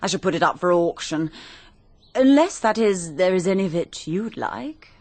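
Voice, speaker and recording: An actress playing a brisk, self-assured young married Englishwoman in a radio drama, polite but direct and inquisitive. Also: fairly low pitch, expressive, darkish tone. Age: young adult.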